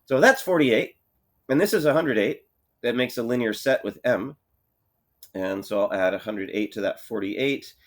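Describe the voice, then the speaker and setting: A somewhat low-voiced man with a cold, his voice thick and congested, works through problems for his class. He is relaxed and thinks aloud, with frequent fillers and restarts. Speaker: math teacher. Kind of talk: recorded lesson walkthrough.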